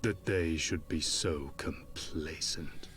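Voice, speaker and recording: An actor playing a grave, ominous dark overseer in a video game cutscene, speaking in formal, archaic, portentous phrasing. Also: male voice, a bass voice, takes it slowly, faint music behind, full character voice. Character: solemn, ominous, grave male dark overseer plotting balance.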